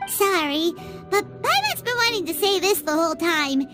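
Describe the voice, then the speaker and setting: A woman voicing a small, cheeky, childlike fairy companion in a video game, with a squeaky, expressive, blunt delivery. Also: moderate pace, loud music behind the voice. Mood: exasperated. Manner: expressive, blunt, speaks of self in third person.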